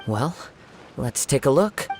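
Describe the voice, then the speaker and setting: A man playing a calm, thoughtful young wandering swordsman in a video game, speaking in composed, poetic, deliberate phrases. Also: medium pitch, over music. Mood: calm, pensive. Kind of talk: video game voice acting, measured dialogue.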